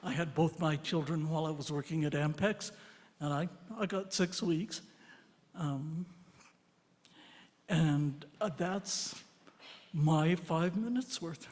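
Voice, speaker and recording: Mature female church speaker, very unhurried, halting personal delivery, long hall reverb. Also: sits low, darkish tone. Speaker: church member giving a personal reflection. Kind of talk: personal reflection to a congregation.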